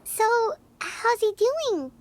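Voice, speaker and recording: A woman voicing a small, childlike, curious and chatty companion in a video game, with a squeaky, expressive, inquisitive delivery. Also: drawn-out words, faint music behind, heavily acted. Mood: puzzled. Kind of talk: video game character acting.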